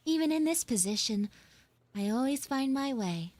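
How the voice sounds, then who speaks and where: A woman's voice: sits high, expressive, a little mellow, no room sound. A woman voicing a smug, playful tsundere frog schoolgirl in a live audio roleplay, speaking in a coy, teasing way. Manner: coy teasing, drawn-out pauses, occasional whispered asides.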